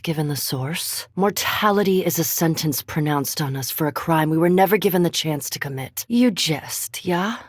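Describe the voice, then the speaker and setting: A woman's voice: on the low side, darkish tone, slightly rough, dry recording. An actress voicing an erudite, coolly curious female vampire scholar in a fantasy game, speaking formally and precisely with dry intellect. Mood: dry, analytical. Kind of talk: scripted fantasy game dialogue.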